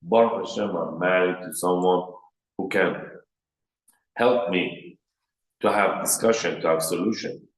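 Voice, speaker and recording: A man's voice: deep voice, a little slow, long pauses, roomy sound, low-quality recording. A man with a Persian accent giving a workshop lecture on marriage as a rabbi, in plain short sentences, raising his voice to act out quoted speakers. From Iran.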